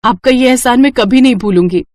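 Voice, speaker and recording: A woman's voice: on the high side, brisk, darkish tone, a little hiss or hum, pitched above the performer's own voice, natural voice. A woman voicing a humble, hardworking poor mother in a children's moral story, deferential and earnest. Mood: grateful.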